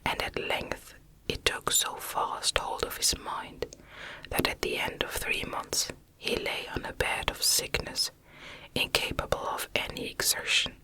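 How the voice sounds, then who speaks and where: Woman whispering a slow ASMR reading. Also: rough recording. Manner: hushed, unhurried, measured reading. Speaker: audiobook reader. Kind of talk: whispered ASMR narration of a classic novel.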